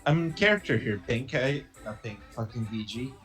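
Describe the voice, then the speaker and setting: A man's voice: medium pitch, big pitch swings, moderate pace, fairly bright tone, faint music behind. A man playing a tabletop game who jokes around, teases and swears casually. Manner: jokey and teasing, swears casually. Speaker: player.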